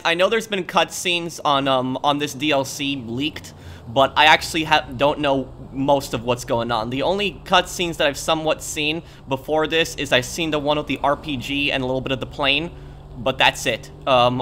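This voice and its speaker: A young man with a bright, forward voice commentates casually over a video game in a halting, hesitant way. His pitch sits in the middle, and faint game noise runs behind him. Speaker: gaming video host. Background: game ambience and vehicles.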